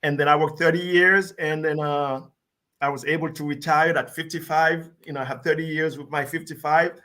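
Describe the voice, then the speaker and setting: A man in his sixties from Haiti, a guest telling his story in a relaxed, laughing way. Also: on the high side. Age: 60s. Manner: warm, laughing, unhurried storytelling. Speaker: guest actor. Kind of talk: casual interview chat.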